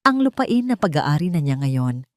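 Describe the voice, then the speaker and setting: A woman narrating a scripted dramatic love story in a measured, dramatic way. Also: sits low, very animated, a little mellow, no echo, studio quality.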